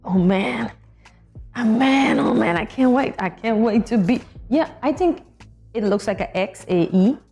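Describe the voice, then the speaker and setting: A woman co-hosting a morning show keeps up playful, competitive banter with her partner. Her talk is casual and animated. Faint music plays softly in the background. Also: on the high side, unhurried. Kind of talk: casual studio chat.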